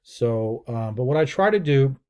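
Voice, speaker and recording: A man's voice: mid-range voice, animated, normal speed, darkish tone, clean tone, lo-fi sound. A middle-aged American man talking casually to camera about his daily routine, rambling with many fillers and restarts. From United States. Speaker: real estate entrepreneur and channel host. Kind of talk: casual unscripted talking-head video.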